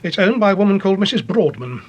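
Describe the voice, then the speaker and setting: An actor playing an earnest, authoritative British police chief in a radio detective drama, grave and deliberate in manner. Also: male voice, high-pitched, animated, breathy edge, muffled recording. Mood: explanatory, earnest.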